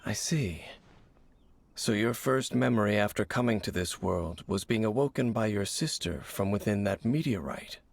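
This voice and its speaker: A man playing an enigmatic, solemn wanderer in a video game, speaking in a low gravelly voice with measured, guarded delivery. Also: expressive. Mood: pensive. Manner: measured, cryptic, philosophical.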